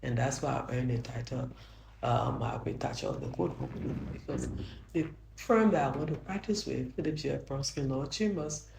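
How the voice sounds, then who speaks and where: An older woman with a Liberian accent and a soft voice, a judge answering interview questions earnestly, often restarting her sentences. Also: a bass voice, animated, normal speed, creaky, background noise. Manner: earnest, frequent restarts, grows emphatic. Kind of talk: interview answers, reflective storytelling. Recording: roomy echo.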